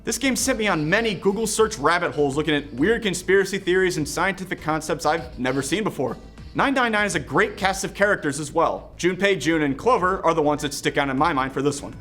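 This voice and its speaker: A man's voice: a little high, expressive, fairly quick, a bit bright. A man narrating an enthusiastic scripted video game review. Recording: music playing behind the voice. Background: background music.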